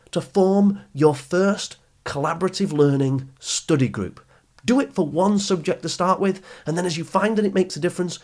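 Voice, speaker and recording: A man's voice: on the high side, a little mellow. A man hosting an educational podcast for teenagers, speaking straight to the listener in an encouraging, instructive, emphatic way. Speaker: podcast host and coach for teenagers. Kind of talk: motivational educational podcast monologue.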